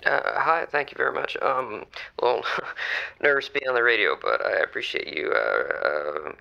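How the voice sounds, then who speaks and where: A jittery polite folksy caller, gravelly and super sharp, stammers a lot, kinda lo-fi. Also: male voice, mid-range voice, huge pitch swings, moderate pace, heavily acted. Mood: nervous, troubled. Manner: hesitant, stammering, courtly wording. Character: nervous polite folksy male caller, troubled. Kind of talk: improvised radio call-in drama.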